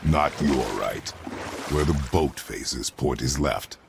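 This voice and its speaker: An actor playing a stern, terse warrior father in a video game, curt, formal and deadpan, with a gravelly voice. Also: extremely low pitch, slow pace, background noise, heavily acted. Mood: solemn. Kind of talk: dramatic video game acting.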